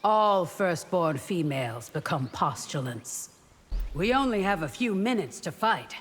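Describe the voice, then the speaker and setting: Stern guardian, noisy hall. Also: female voice, fairly low pitch, sing-song, unhurried. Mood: stern, formal. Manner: formal, confident. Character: stern, dutiful elite royal bodyguard priestess. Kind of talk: video game fight banter. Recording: reverberant hall, noisy game background.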